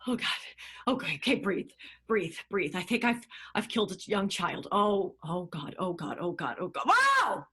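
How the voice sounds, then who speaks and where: A woman doing an excitable, panicky showman. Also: mid-range voice, moderate pace, husky, exaggerated voice. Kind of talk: improvised radio play.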